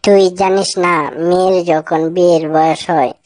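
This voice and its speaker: A woman's voice: lowish voice, fairly even intonation, a little slow, a little mellow, dry recording, natural voice. A woman voicing a cheeky married man and joking buddy in a comic cartoon skit, with exaggerated, teasing delivery. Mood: teasing, incredulous.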